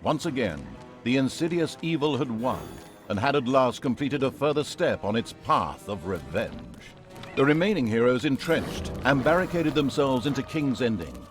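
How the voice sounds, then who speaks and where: A man's voice: medium pitch, very animated, moderate pace, natural voice. A man playing a wry, pompous storybook narrator for a fantasy video game, telling it with theatrical, comic grandeur. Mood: mock-grand, wry. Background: game music.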